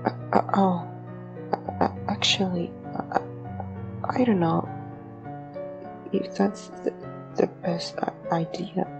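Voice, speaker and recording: A woman voicing a shy, anxious ghost who speaks haltingly and trails off. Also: on the low side, fairly even intonation, creaky, dull audio, music in the background. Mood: nervous. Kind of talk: fan audio drama acting.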